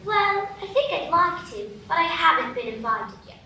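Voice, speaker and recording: A woman playing a polite, puzzled young girl with a very high, bright voice, asking earnest questions. The voice comes from a distance, off a live stage in a very echoey room. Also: sing-song, moderate pace, a little hiss or hum, exaggerated voice. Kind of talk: live radio play acting.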